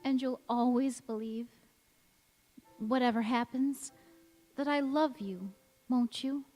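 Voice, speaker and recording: A woman plays an anguished, devoted young wife in a radio drama. Her voice sits fairly high and moves very slowly, with earnest melodrama. It rings through a reverberant hall with music behind. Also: steady tone, darkish tone. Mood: anguished, pleading. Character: anguished, devoted young-looking wife cursed never to age. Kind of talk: stage radio-play acting. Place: large reverberant church or hall.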